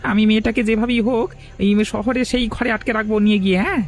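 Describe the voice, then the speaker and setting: A man's voice: high-pitched, steady tone, fast talker. A man playing an eager, servile henchman to a crime boss in a cartoon story. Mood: eager, scheming. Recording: music behind the voice. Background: background music.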